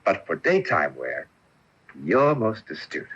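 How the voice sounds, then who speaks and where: Suave theatrical gentleman ghost, swooping delivery. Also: low-pitched, big pitch swings, takes it slowly, slightly rough, exaggerated voice. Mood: suave, playful. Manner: flourishing, theatrical, teasing. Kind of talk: radio mystery acting. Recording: dull off-air AM radio recording.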